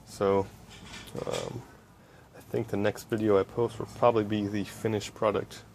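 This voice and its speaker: A man with a fairly low voice talks through a hands-on project in a very even, flat tone. He explains informally, step by step, like a casual update. There is clear noise on the home-made recording. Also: normal speed. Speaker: electronics hobbyist.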